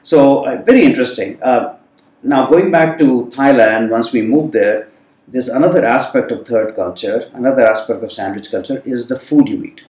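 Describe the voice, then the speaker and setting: A man's voice: mid-range voice, moderate pace, a little mellow. Man recalling his youth, echoey and distant. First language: Hindi. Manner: thoughtful, many fillers. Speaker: guest. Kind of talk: reflective storytelling in conversation. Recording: roomy sound from a distant mic.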